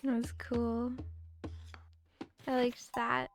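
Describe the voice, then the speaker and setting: A woman's voice: fairly high pitch, completely flat delivery, extremely slow, slight background noise. Streamer woman with a weak voice. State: weak, tired voice. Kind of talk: casual gaming stream chat.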